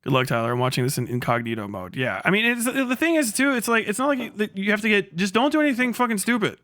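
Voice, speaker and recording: A man's voice: sits high, very animated, quick pace, fairly bright tone, no room sound, studio quality. A man livestreaming a casual rant in a blunt, sarcastic, heavily profane way. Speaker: live streamer.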